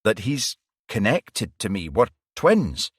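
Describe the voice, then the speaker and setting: Narrator reading a feverish male cop, urgent, fairly loud, dry close sound. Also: lowish voice, very animated, takes it slowly, slightly rough, dry recording, pitched above the performer's own voice, full character voice. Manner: urgent, earnest. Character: intense, feverish off-duty male detective.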